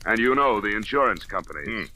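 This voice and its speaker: An actor playing a cool, dogged insurance investigator in an old-time radio drama, clipped, dry and matter-of-fact. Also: male voice, on the low side, lively intonation, a little fast, bright, forceful tone. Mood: firm, pressing. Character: insurance investigator, cool, dogged, hard-boiled male detective. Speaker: lead character and narrator. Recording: noisy vintage radio drama recording.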